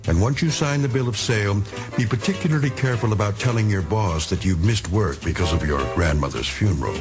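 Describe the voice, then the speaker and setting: An actor playing a wry, ominous narrator of eerie radio tales, measured and dramatic. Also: male voice, on the low side, expressive, music in the background. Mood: wry, cautionary.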